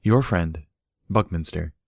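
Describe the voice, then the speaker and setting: A man reading scripted story narration in a measured, earnest way. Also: low voice, creaky, darkish tone, no echo, studio quality. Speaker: audiobook narrator.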